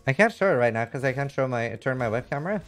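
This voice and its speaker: A man's voice: mid-range voice, expressive, quick pace. A man doing casual live game commentary, reading chat and thinking aloud in a frank, rambling way with some casual swearing. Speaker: livestreamer.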